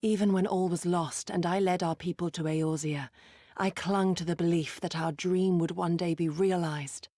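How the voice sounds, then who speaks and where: Casting: actress as dutiful female shinobi for game drama, lowish voice, formal and solemn, dry close sound. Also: fairly even intonation, moderate pace, warm tone, no echo, lightly acted. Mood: grave, heartfelt. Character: earnest, dutiful female shinobi resistance fighter. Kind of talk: dramatic video game acting.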